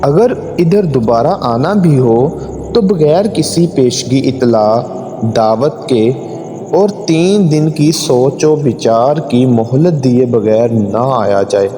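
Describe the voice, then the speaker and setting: A man voicing a stern, just judge in a story, formal, firm and deliberate. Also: medium pitch, expressive, warm tone, close to an everyday voice. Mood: grave, authoritative. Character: stern, just male judge pronouncing a verdict. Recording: long hall reverb, music underneath.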